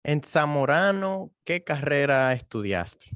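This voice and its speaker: A man's voice: fairly high pitch, sing-song, takes it slowly, a bit bright, dry recording. A man hosting a science podcast, introducing a guest and asking friendly, informal questions. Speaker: podcast host. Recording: music clearly behind the voice.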